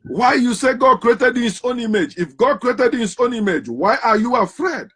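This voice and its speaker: A man's voice: extremely high pitch, animated, normal speed, a bit bright, breathy edge. A man giving an impassioned, emphatic monologue on religion, hammering home rhetorical questions. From Africa. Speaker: commentator on religion.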